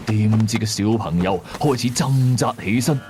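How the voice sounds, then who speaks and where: 一個香港粵語口音嘅男人，用第一身、口語化又戲劇性嘅方式講廣播鬼古。. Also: male voice, fairly low pitch, moderate pace, darkish tone, faint music behind. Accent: Hong Kong Cantonese. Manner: dramatic, colloquial. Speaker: radio drama narrator. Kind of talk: first-person ghost story narration.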